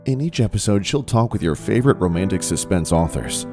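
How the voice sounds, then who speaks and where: A man with a low voice reads a polished show intro in a smooth announcer delivery. His pitch swings widely as he presents the show, and clear guitar music plays under him. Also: expressive, brisk. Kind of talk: scripted intro voice-over.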